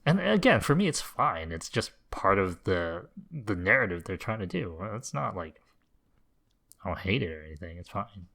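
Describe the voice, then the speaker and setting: A man with a fairly low but bright, forceful voice talks casually as a podcast co-host. He reasons conversationally through his side of a friendly debate. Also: very animated, moderate pace, gravelly. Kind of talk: casual debate about video games.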